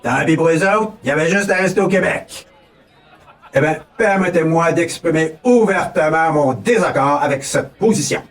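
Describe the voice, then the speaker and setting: A man's voice: fairly high pitch, normal speed, a bit bright, recorded from a distance. A man from Quebec with a Québécois accent, giving a folksy, mock-solemn comic campaign speech as a pretend candidate. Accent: Québécois French. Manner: folksy, mock-solemn, keeps tossing in a rising "ok, là?". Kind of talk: comic political address.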